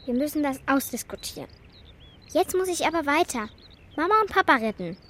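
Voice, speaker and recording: High-pitched, urgent little girl. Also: very animated, brisk, a bit bright. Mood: urgent, determined. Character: brave, breathless, urgent young girl. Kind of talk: children's radio play acting.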